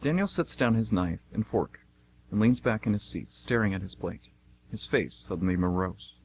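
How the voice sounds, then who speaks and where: A man's voice: on the low side, animated, moderate pace, a little hiss or hum, poor audio. A man reading scripted narration for an amateur radio play.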